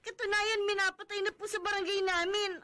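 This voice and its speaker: A woman's voice: extremely high pitch, little pitch movement, fairly quick, sharp tone. An actress playing a frightened young woman who pleads tearfully with a police sergeant in a radio drama. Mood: pleading.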